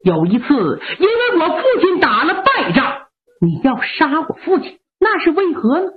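A woman's voice: sits high, huge pitch swings, moderate pace, soft background music. A woman storyteller voicing a stern, unbending male army marshal who declaims firmly, sometimes tearfully. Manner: firm, declaiming, at times tearful. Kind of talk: traditional storytelling performance. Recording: lo-fi narrow-band recording.

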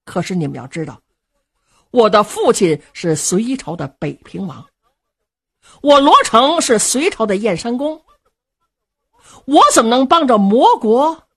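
A woman's voice: fairly high pitch, very animated, a little slow, long pauses, husky, exaggerated voice. A woman voicing a young male noble who pleads earnestly and protests when pushed, in traditional storytelling. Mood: torn, pleading.

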